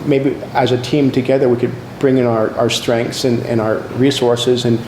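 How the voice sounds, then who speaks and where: A man from Vancouver, Canada, a sportswear business owner speaking in a relaxed, conversational way. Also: mid-range voice, normal speed, slight background noise. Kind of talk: interview testimony. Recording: roomy echo.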